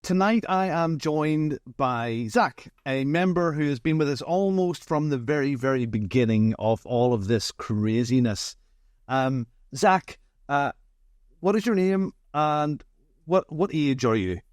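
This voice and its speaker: A man's voice: a little high, unhurried, dry recording, clean studio sound. A middle-aged man with a Northern Irish accent hosts a casual interview in a chatty, jokey manner. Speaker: interview host. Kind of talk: casual online chat interview.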